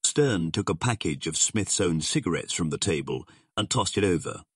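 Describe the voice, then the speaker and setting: Low, husky man narrating an adventure. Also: expressive, normal speed, no echo, clean studio sound. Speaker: audiobook narrator. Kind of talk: scripted narration of a pulp adventure story.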